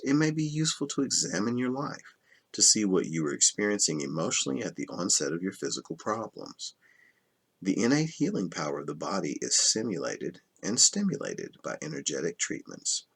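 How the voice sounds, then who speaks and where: A man reading a self-help book aloud as scripted narration, in a measured, instructional manner that stresses key terms. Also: sits low, gravelly, fairly bright tone, breathy edge. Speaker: audiobook reader.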